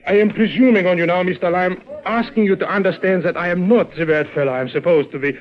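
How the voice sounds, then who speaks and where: Scheming, ingratiating father in business, high-pitched male actor, vintage radio drama, dull recording. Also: quick pace, close to an everyday voice. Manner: oily, ingratiating, calculating. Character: scheming, wheedling German businessman, father of grown daughter. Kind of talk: old radio drama acting. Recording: muffled old radio recording.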